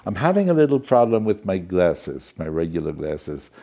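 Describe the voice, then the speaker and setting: A man's voice: low voice, very animated, normal speed, mellow. A man playing a jolly, fussy professor storyteller who reads a children's storybook theatrically, with chatty asides and muddled words. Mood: chatty, delighted.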